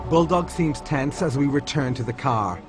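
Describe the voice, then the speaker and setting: A man narrating a documentary in a measured, first-person scripted voice-over. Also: medium pitch, lively intonation. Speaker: documentary presenter. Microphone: studio voice-over. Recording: over loud score music.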